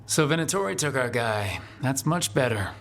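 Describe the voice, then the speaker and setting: A man's voice: mid-range voice, big pitch swings, normal speed, fairly bright tone, a little hiss or hum. A man playing a principled companion with a wry yet earnest manner in a fantasy video game. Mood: wry, firm.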